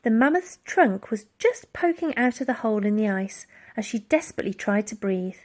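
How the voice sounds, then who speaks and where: A woman reading a children's story aloud in an expressive, dramatic storytelling style. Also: a little high, a little fast. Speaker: audiobook reader.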